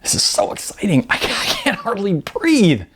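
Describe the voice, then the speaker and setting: A man voicing an excitable young mother who gushes breathlessly in an audiobook. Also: high voice, normal speed, slight background noise, pitched above the performer's own voice, heavily acted. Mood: thrilled. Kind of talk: audiobook character voice.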